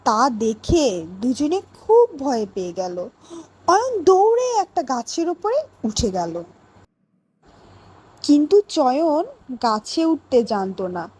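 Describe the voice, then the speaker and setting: A woman reading scripted children's stories and questions as a teacher, in a deliberate, instructive way. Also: high voice, very animated, darkish tone, husky, low-quality recording. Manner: slow, deliberate, teacherly phrasing. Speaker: storyteller and teacher. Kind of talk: scripted children's story narration and classroom questioning.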